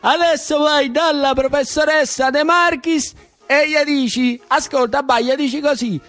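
A man voicing a bossy boy bully with a Roman Italian accent, goading another child with repeated shouted orders in one-man radio theatre. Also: very high voice, steady tone, normal speed, faint music behind, voice pushed up. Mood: excited, goading.

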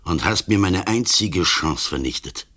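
An actor playing an old, ailing police commissioner in a radio play, grave, accusing and relentless. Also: male voice, low voice, expressive, a little slow, pushed and bright, full character voice. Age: older.

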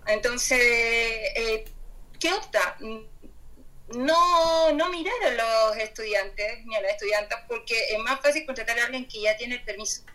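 Woman, Chilean accent, high voice, halting delivery, participant in an online debate. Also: a bit bright, poor audio. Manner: hesitant, searching for words. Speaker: doctoral student and care worker speaking as participant. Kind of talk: spontaneous contribution to an online group debate. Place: online video call.

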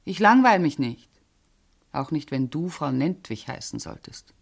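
A middle-aged woman in a radio drama, a loyal and sensible friend. Her voice sits fairly low and moves with very animated intonation. She speaks in a dry, teasing, expressive way. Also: a little slow, creaky, breathy edge, exaggerated voice. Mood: teasing, wry. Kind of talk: naturalistic radio drama acting.

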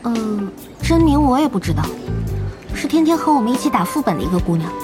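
A woman's voice: a little high, very animated, quick pace, music in the background. A woman playing a sweet, easygoing young friend in an audio drama. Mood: sweet, placating.

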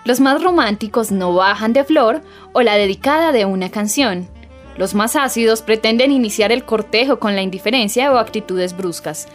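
Colombian female radio presenter, scripted narration, higher-pitched voice. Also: moderate pace, soft background music.

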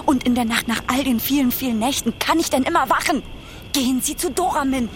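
A woman's voice: high-pitched, a little fast, slight background noise, close to an everyday voice. An actress playing a fearless, protective young woman in a German radio play, giving urgent commands in short phrases. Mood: urgent, pleading. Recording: loud music behind the voice.

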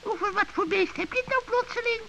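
A man voicing a sly old female witch in a children's radio play, wheedling in drawn-out phrases with feigned innocence. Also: very high-pitched, vocal fry, sharp tone, pitched above the performer's own voice, exaggerated voice. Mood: feigned indifference. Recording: dull, hissy vintage recording with clicks.

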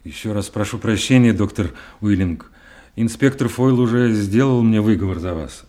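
A man plays a novice police lieutenant in a radio drama. His voice is low and dark. He is formal, deferential and respectful toward his superiors. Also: moderate pace, lightly acted. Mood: apologetic, dutiful. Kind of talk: radio play acting.

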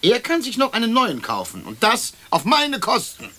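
A man's voice: very high voice, wildly swooping pitch, normal speed, pushed and bright, a little hiss or hum, heavily acted. An actor playing an agitated, resentful dead businessman in a German radio play.

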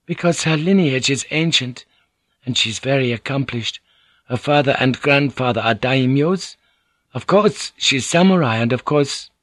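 A man's voice: medium pitch, a little slow, fairly bright tone, no echo, voice pushed up, lightly acted. A man reading an audiobook, voicing a polite, anxious young Japanese noblewoman who serves as interpreter. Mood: soothing. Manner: polite, soothing, earnest. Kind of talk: audiobook character voice.

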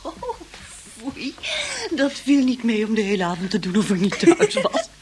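Cheeky conspirator, Dutch accent, high lively husky voice, old radio comedy, slow delivery. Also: female voice, slight background noise. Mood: amused, relieved.

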